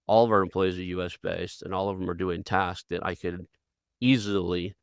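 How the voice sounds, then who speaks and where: Gravelly deep-voiced Oklahoma man. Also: fairly even intonation, normal speed. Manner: rambling, folksy, restarts often. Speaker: podcast host. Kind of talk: conversational interview.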